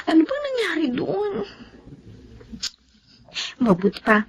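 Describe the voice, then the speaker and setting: A woman's voice: extremely high pitch, huge pitch swings, takes it slowly, a little hiss or hum, heavily acted. A woman acting an anxious, pleading young mother in a Tagalog radio drama. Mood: worried. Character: anxious young single mother, pregnant, eager to marry.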